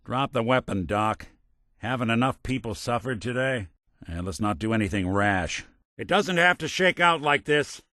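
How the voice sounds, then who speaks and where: An actor playing a wry, world-weary, decent middle-aged robot private detective in a video game, in a streetwise American drawl with a hard-boiled noir style. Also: male voice, low-pitched, no room sound, clean studio sound. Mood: urgent, grave.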